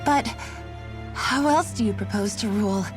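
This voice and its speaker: A woman's voice: mid-range voice, moderate pace, lightly acted. An actress playing an anxious, tradition-bound noblewoman who protests in disbelief. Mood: incredulous. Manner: incredulous and protesting. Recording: game audio over music.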